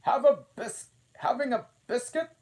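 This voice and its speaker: A man voicing an impatient, bossy queen in a storybook reading, with shrill, loud commanding shouts. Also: expressive, a little slow. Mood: brisk commanding. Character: bossy shrieking queen, grown woman, impatient.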